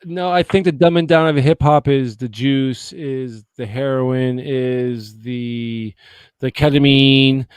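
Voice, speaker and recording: A man in his forties from the Boston area talks in a loose, rambling way, peppering his talk with swearing and "you know what I mean?". His voice sits at a middling pitch and is recorded close and dry, with little room sound. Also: slow, clear, resonant tone, no room sound. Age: 40s. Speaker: podcast guest. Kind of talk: casual chat.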